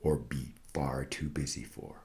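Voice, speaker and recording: Very deep-voiced man reciting solemnly. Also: unhurried, gravelly, a little mellow. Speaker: reader of own satirical prose piece. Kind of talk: scripted dramatic recitation, mock-meditative monologue.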